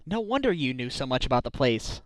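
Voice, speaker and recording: A man voicing an earnest young male defense lawyer in a game fan dub, with a lively delivery. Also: mid-range voice, brisk, no room sound, natural voice. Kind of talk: amateur game fandub acting.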